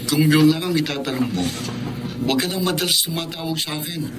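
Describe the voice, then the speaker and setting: An actor playing an expressive young man in a Filipino romantic radio drama. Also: on the high side, fairly quick, close to an everyday voice. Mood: cold, irritated. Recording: radio drama with noisy ambience.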